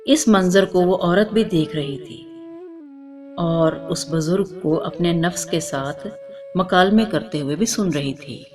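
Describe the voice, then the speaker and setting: A woman narrating a scripted religious moral story in a formal, measured way, as a storyteller. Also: lowish voice. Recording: music under the voice.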